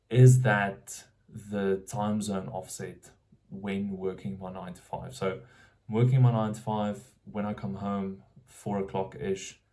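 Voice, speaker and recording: A man's voice: low-pitched, mellow. A young South African man vlogging casually, thinking aloud and often restarting his sentences as he searches for words.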